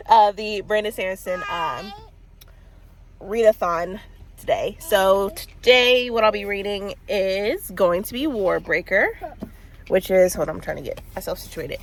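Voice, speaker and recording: A woman's voice: medium pitch, slight background noise. Stuffy-nosed woman in her 30s rambling on her book vlog, lots of um and like, little laughs. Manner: chatty, rambling, many fillers and laughs. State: congested with a cold. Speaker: book vlogger. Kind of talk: casual vlog chat. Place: in a car.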